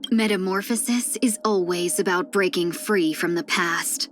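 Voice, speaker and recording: A woman voicing a video game character, a gentle, wistful fox-woman who speaks softly and politely in measured, reflective lines. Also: lowish voice, slight background noise, lightly acted. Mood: reflective, calm. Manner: soft-spoken, measured, reflective, drifting into breathy near-whispers; polite and refined.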